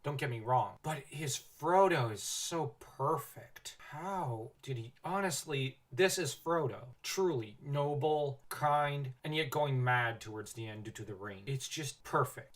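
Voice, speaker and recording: A man's voice: mid-range voice, a bit bright. Emphatic man giving an opinionated review. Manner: emphatic, effusive, prone to exaggeration. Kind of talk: opinionated spoken audio drama review.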